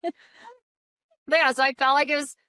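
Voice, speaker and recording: A woman in her thirties co-hosting a casual podcast among friends, chatty and quick, laughing often. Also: high voice, steady tone, sharp tone, no room sound, studio quality. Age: 30s. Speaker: podcast co-host.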